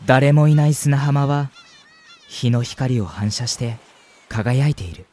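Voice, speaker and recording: A man playing a gentle, wistful young university student who narrates a radio drama in an earnest, reflective way. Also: medium pitch, takes it slowly. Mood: earnest, wondering. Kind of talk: radio drama acting with first-person narration.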